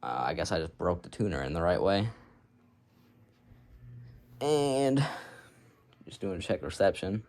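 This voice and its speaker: A man's voice: low voice, very animated, unhurried, long pauses, darkish tone. A man giving a casual, unscripted show-and-tell as a hobbyist, rambling in an offhand, self-deprecating way. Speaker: hobbyist showing a radio collection.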